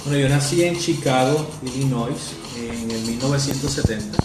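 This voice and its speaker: A Puerto Rican man in his forties, an actor answering interview questions, reminiscing with many hesitant pauses and fillers. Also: medium pitch. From Puerto Rico. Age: 40s. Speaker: actor guest. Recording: roomy echo with music behind.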